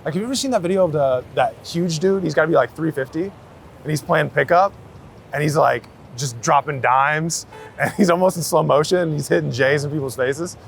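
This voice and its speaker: Male interviewee, creaky voice, loose slangy storyteller, recorded outside with light traffic noise. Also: on the high side, brisk. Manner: slangy, anecdotal, hedging with "like" and "you know". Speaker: street photographer being interviewed. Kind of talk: casual chat. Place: outdoors on a busy city street. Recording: city street noise, traffic around.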